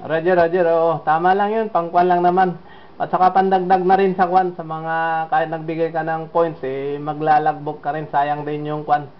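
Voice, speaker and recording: A man from the Philippines holds a relaxed ham radio contact in a slightly high, very flat voice. His talk is unhurried and full of small hesitations, and the audio sounds dull and lo-fi. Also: slight background noise. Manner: steady and unhurried, with frequent fillers. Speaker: amateur radio operator.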